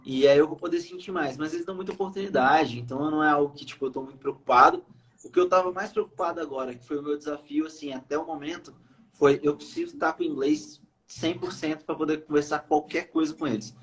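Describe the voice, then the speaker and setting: A man's voice: a little high, quick pace, fairly bright tone, low-quality recording. A young Brazilian man from Brazil, a podcast guest speaking casually with many fillers and hesitations. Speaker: podcast guest, iOS developer. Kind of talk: casual interview chat.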